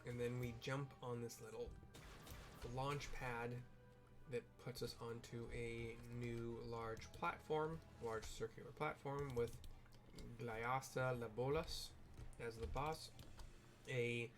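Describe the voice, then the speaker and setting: A man giving casual, explanatory game commentary, describing the scene in a halting way with trailing asides. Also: medium pitch, fairly even intonation, music in the background. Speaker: gamer narrating a raid playthrough.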